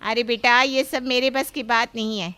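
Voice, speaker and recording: A woman's voice: on the high side, fairly even intonation, moderate pace, pushed and bright, no echo. A woman playing a young mother who soothes and explains, in a family story. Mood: placating. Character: placating young neighbour mother. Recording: clear background noise.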